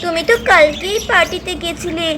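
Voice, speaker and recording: A caring, obedient young housemaid doll in a children's cartoon , voiced by a woman. She speaks in an extremely high, earnest and deferential voice. There is clear noise on the home-made recording. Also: brisk, sharp tone. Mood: caring, pleading.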